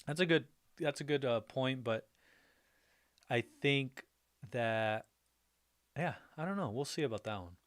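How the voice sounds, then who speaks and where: A man's voice: medium pitch, lively intonation, unhurried, pauses often, a bit bright. A man hosting a casual livestream, reading viewer comments aloud, thinking them over and laughing often between remarks. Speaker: livestream host. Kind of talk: casual livestream reaction chat.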